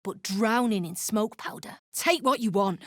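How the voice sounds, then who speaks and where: A woman voicing an embittered, foul-mouthed deep gnome rebel in a video game, blunt and snarling. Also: animated, measured pace, fairly bright tone, dry recording, studio quality. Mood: defiant, angry. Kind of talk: video game character acting.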